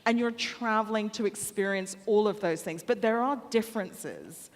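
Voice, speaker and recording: A woman's voice: mid-range voice, moderate pace. A woman from Hong Kong speaking on a conference panel, telling anecdotes and addressing the audience directly. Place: large conference hall. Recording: hall reverb.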